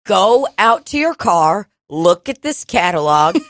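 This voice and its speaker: A woman's voice: mid-range voice, sing-song, slow, a bit bright, no echo. A woman co-host playing an exasperated retail clerk with forced politeness and sarcasm in an improv comedy sketch recorded in a dining room. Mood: forced-polite. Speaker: podcast co-host.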